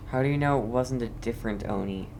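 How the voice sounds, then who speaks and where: A man's voice: mid-range voice, fast, creaky. A man giving casual playthrough commentary as a gamer, reacting and musing aloud.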